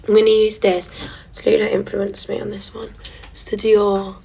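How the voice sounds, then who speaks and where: A woman's voice: mid-range voice, little pitch movement, moderate pace, vocal fry, slightly rough, rough recording. Chatty, giggly young woman. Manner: chatty and giggly, drops to a soft near-whisper at times. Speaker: vlogger. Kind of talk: casual get-ready-with-me chat.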